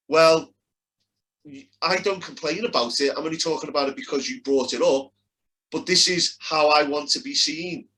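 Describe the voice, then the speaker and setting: A man's voice: a little high, bright, forceful tone, low-quality recording. A man with a Liverpool accent arguing his side in a heated casual debate, blunt and emphatic. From Liverpool, England.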